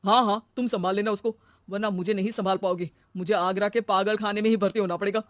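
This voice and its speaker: A woman's voice: medium pitch, fairly even intonation, fast talker, dry recording, studio quality, lightly acted. Woman voicing a frazzled cartoon husband. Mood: exasperated. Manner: flustered, pleading to be left alone. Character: exasperated middle-aged male homeowner. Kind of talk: cartoon story dubbing.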